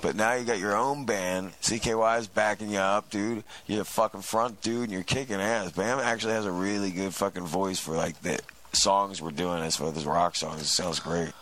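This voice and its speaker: Low-voiced man in slangy, casual chat. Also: fairly even intonation, normal speed, hardly any pauses, fairly bright tone. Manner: profane, slangy. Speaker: radio show co-host and bandmate. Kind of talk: casual chat, prompting questions.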